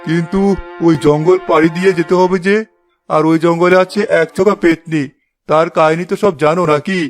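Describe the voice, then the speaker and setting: A man's voice: a little high, steady tone, fairly quick, faint music behind, close to an everyday voice. A man voicing a humble, anxious village healer in a cartoon, explaining deferentially. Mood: anxious, pleading. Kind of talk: Bengali cartoon voice acting.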